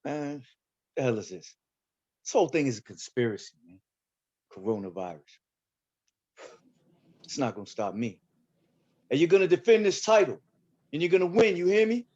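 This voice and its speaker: A man's voice: fairly high pitch, animated, moderate pace, pauses often, darkish tone. A man reading the part of a pushy, ranting boxing coach in a film script table read, emphatic and commanding. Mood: fierce, commanding. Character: ranting, pushy male boxing coach, conspiracy-minded, gruff. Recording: dull, lo-fi video call.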